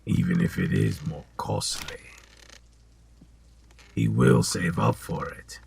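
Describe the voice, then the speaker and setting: A man playing a sly, sinister old potion seller in an audio drama, with a gravelly, husky voice and a deliberate, insinuating manner. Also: extremely low pitch, wildly swooping pitch, a little mellow, a little hiss or hum, heavily acted. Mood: salesmanlike.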